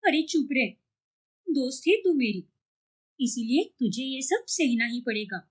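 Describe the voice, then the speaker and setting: A woman's voice: sits high, lively intonation, unhurried. A woman voicing a stubborn, lovestruck young woman with a whiny, dramatic manner in a cartoon story. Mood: indignant. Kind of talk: cartoon moral story dialogue.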